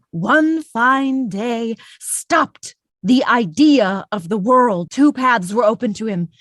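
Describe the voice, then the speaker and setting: Absurdist radio drama role: grave female interrogator, acted by a woman, high voice, deliberate enunciation, very dry sound. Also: dry recording. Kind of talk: theatrical radio play recitation.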